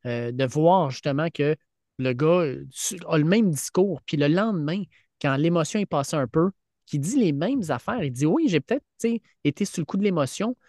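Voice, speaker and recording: A man's voice: a little high, animated, normal speed, a little mellow, no echo, clean studio sound. A man with a Quebec French accent co-hosting a sports podcast, talking earnestly with frequent restarts. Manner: earnest, many fillers and restarts. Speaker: podcast co-host, teacher. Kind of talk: casual sports chat. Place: podcast studio.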